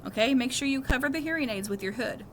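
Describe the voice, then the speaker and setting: A woman's voice: high voice, expressive, quick pace. A woman voicing a caring, reassuring mother who coaxes and instructs her young son in a storybook reading, with noise behind her. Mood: instructing. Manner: coaxing, instructing. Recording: clearly noisy background.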